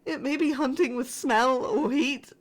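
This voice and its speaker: A very high-pitched young-sounding female spaceship crew member, acted by a woman, caught in a sci-fi horror audio drama. She speaks slowly and haltingly, with raw dramatic emotion. Her fear comes with pleading and a streak of defiance. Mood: grim, fearful.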